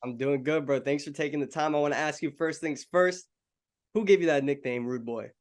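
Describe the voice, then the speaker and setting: A man's voice: fairly high pitch, a little fast. A young adult man hosting an interview, friendly and casual, calling his guest "bro" and "man".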